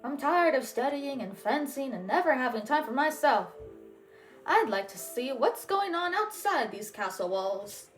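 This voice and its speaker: A woman's voice: high-pitched, big pitch swings, measured pace, faint music behind, low-quality recording. A woman voicing a confident, boyish young prince in a storybook, with formal and emphatic speech. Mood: eager, confident.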